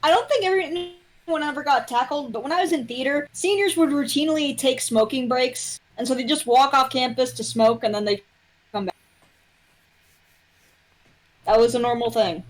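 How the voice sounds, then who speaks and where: A woman's voice: high voice, brisk. A young woman guesting on a livestream, chatting casually and telling anecdotes over a slightly noisy line.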